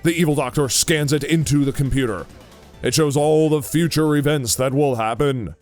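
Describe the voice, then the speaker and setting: A man's voice: a little high, moderate pace, darkish tone, soft background music. A man giving scripted narration for an audio drama as its narrator.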